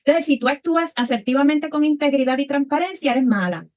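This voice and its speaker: Puerto Rican woman, slightly high voice. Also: fairly quick, darkish tone. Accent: Puerto Rican Spanish. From Puerto Rico. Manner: reflective, frequent tag questions. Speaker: panelist. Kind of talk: panel discussion answer.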